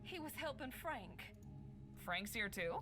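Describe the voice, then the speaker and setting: An actress playing a skeptical young woman who speaks in a dry, sharp, exasperated way. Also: a little high, animated, slow pace, over music. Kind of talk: game voice acting.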